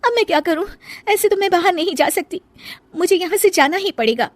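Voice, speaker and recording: A woman's voice: very high voice, lively intonation, a little fast, dry recording, voice pushed up. A woman voicing an anxious, meek young shape-shifting serpent daughter-in-law in a cartoon story, in a pleading, apologetic manner. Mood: panicked. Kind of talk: Hindi cartoon moral story.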